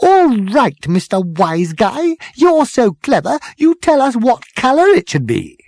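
Shrill high smug marketing girl, strident, talks down to everyone, comic storybook. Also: huge pitch swings, measured pace, darkish tone, dry recording, exaggerated voice. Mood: smug, insistent. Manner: condescending, insistent. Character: strident, smug young female marketing executive.

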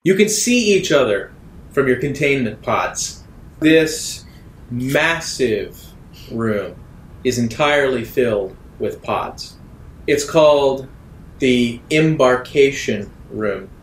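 A man working as game master, narrating a tabletop role-playing game deliberately, with dramatic pauses. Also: medium pitch, lively intonation, echoey room, slight background noise.

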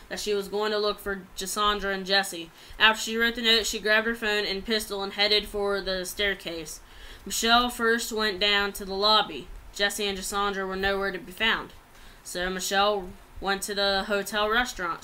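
A woman's voice: medium pitch, bright, forceful tone, smooth, poor audio. A young adult woman reads a written story aloud plainly, stumbling over some words, in a small room at home on a laptop microphone. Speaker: amateur writer reading own story.

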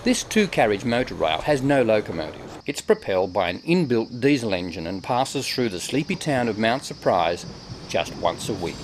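A man's voice: lowish voice, very animated, normal speed, a little hiss or hum. A man narrating a travel documentary in a scripted way, with wry asides. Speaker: travel show host and narrator.

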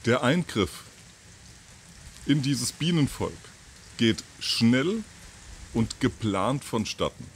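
A man in his fifties giving an informal, halting monologue to camera, thinking aloud with pauses and repeats. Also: mid-range voice, very animated. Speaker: video channel host. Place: outdoors on a terrace or balcony by trees. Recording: outdoor ambient noise.

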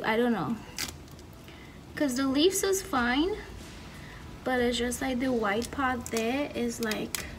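A woman in her twenties with a Trinidadian accent, casually narrating a home cooking vlog as she works. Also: fairly high pitch. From Trinidad and Tobago. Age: 20s. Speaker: vlogger. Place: home kitchen, indoors. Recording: noisy kitchen, distant microphone.

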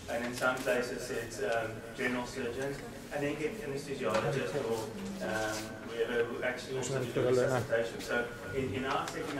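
A man in his forties with a South African accent giving an informal conference lecture from a lectern, with many ums and restarts. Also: medium pitch. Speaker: trauma surgeon giving a keynote. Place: darkened lecture room, standing at a lectern. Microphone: lectern mic picked up by a distant camera. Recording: echoey room, far camera, steady background noise.

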